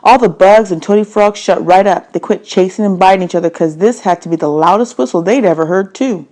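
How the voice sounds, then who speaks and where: A woman's voice: mid-range voice, brisk, darkish tone. A woman narrating a children's novel in the first person, telling it expressively with stressed phrases. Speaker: read-aloud narrator.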